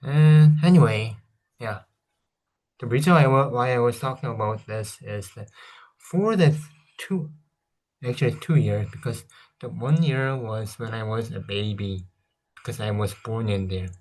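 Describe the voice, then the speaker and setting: Korean accent guy in his 30s, talks slow, keeps correcting himself. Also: medium pitch, a little mellow, rough recording. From South Korea. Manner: rambling, hesitant, self-correcting. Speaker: vlogger. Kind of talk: casual console review vlog.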